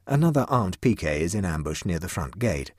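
A man voicing a calm, nonchalant young male prankster who talks in a dry, matter-of-fact way. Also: sits low, fairly quick, dry recording. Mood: cool, nonchalant.

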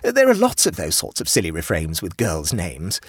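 A man's voice: low-pitched, huge pitch swings, normal speed, breathy edge, no room sound, studio quality, heavily acted. A man reading an audiobook, voicing a fussy, old-fashioned society hostess who is flustered and eager to please. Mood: placating. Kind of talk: audiobook character voice.

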